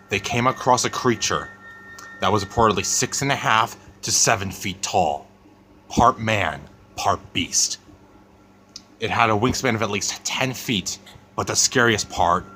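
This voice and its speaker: A man voicing an ominous monster storyteller, telling a spooky tale with drawn-out, dramatic emphasis in an amateur roleplay. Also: lowish voice, pushed and bright, slight background noise. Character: Zilla Dude ten, ominous monster storyteller.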